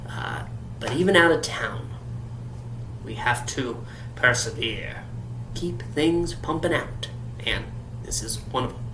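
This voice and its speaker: Slow, halting man in an echoey room. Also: mid-range voice. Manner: halting, with stammered repeats. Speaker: host reviewing an audio drama. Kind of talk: solo review and episode recap. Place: echoey room away from usual setup. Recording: roomy echo with clear background hum.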